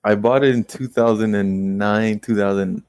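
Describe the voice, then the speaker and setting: Late-20s male guest, low voice, dry close mic, animated storyteller. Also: dry recording. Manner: animated storytelling, quick rueful asides. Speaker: guest real estate investor. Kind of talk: casual conversational interview.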